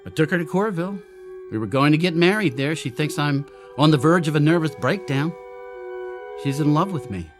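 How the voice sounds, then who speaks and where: A man's voice: a little high, lively intonation, brisk, music in the background, close to an everyday voice. A man in a radio drama playing a troubled android who believed he was human, speaking in urgent, challenging, terse phrases. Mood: bitter.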